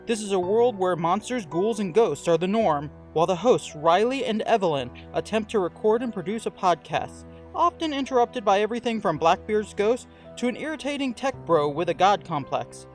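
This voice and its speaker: A man's voice: high voice, lively intonation, moderate pace, hardly any pauses. A man reading scripted show credits and announcements as an announcer. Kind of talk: scripted narration. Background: music.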